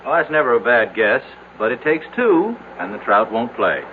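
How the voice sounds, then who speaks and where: An American actor playing a teasing, stubborn country doctor in a radio drama, with a folksy, unhurried manner. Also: male voice, medium pitch, very animated, lightly acted. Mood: teasing, amused. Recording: tinny, hissy old radio broadcast.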